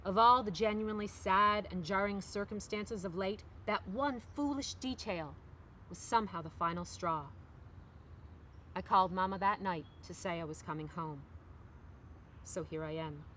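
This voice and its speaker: A middle-aged woman, an author, reading their own novel aloud in an expressive literary style. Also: mid-range voice, moderate pace. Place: outdoors on a park bench among trees. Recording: faint outdoor background noise.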